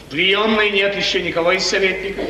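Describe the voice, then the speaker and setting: A man's voice: high-pitched, steady tone, quick pace, lightly acted. An actor playing a restless, lovesick prince in 1950s radio theatre, musing aloud theatrically and repeating his phrases. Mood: restless, commanding. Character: restless lovesick prince of a small feudal state. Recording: old noisy recording, echoey hall, distant.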